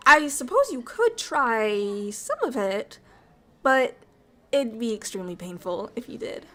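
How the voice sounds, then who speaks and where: A woman voicing a bubbly, friendly alien ambassador in an ASMR roleplay, chatty, excitable and quick to apologise. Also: on the high side, full character voice.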